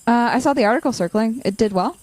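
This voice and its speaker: A woman's voice: a little high, quick pace, darkish tone, close to an everyday voice. A woman playing a sarcastic young college student villain in a naturalistic audio drama, with a dry, teasing manner. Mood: casual. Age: young adult.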